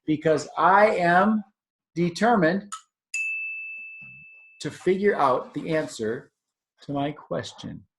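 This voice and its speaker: Storybook baby elephant, young and curious, a man reading it in a higher, louder voice. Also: takes it slowly, pauses often, a little hiss or hum. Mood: determined. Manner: insistent, questioning, raised and excited. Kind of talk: storybook read-aloud.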